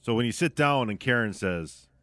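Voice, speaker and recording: A man's voice: medium pitch, animated, moderate pace, fairly bright tone, no room sound. Middle-aged American male radio co-host, mid-range voice, conversational storyteller who corrects himself, very dry studio recording. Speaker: radio sports talk show co-host. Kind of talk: casual radio banter.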